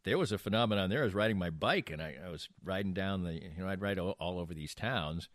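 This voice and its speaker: A man's voice: low voice, fast talker, no echo. An older man hosting a casual podcast, telling anecdotes in a rambling way and restarting his sentences mid-thought. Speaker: podcast host.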